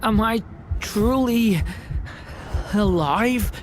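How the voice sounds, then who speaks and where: A woman voicing a fragile, lost young boy in a game, speaking haltingly and plaintively. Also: a little high, noisy background. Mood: weak, confused. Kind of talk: dramatic game voice acting.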